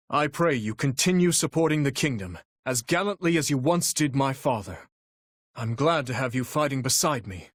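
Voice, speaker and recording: An actor playing an earnest, courteous young warrior prince in a video game, speaking formally and resolutely. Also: male voice, on the high side, no room sound, clean studio sound. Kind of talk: video game battle voice lines.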